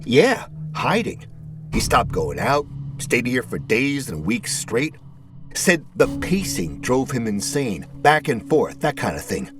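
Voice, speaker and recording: A man voicing a chatty, nosy, eccentric male neighbor in an audio story, with a folksy, rambling, excitable way of talking. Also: mid-range voice, slow pace, music in the background, pitched above the performer's own voice. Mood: gossipy, conspiratorial.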